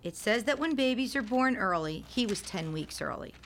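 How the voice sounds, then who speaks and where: A woman plays a weary, anxious mother of a premature baby in a radio drama. Her voice sits at a middle pitch. She speaks gently and earnestly, with some hesitation. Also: lively intonation, moderate pace, slight background noise, close to an everyday voice. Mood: earnest, explaining. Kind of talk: radio play dialogue.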